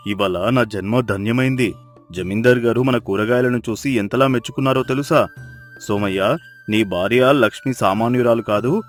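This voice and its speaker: A man's voice: mid-range voice, natural voice. A man voicing a hardworking, devoted village husband, tender and admiring, in a moral story.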